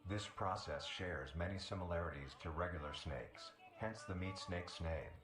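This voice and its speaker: Low-voiced man narrating a documentary. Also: fairly bright tone. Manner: measured, formal, detached. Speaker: narrator of a mock nature documentary video. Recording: roomy echo with music. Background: music.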